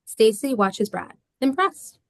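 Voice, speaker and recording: A woman's voice: fairly high pitch, big pitch swings, takes it slowly, dry recording, studio quality. A woman narrating the stage directions of a screenplay at a table read.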